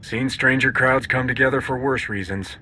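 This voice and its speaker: An actor playing a confident, pragmatic rebel commander in a video game, decisive with a wry streak. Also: male voice, medium pitch, steady tone, normal speed, bright, forceful tone, a little hiss or hum.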